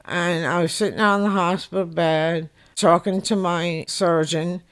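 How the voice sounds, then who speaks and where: Slow-speaking woman recounting her experience. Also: lowish voice, steady tone, no room sound, low-quality recording. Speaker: interviewee.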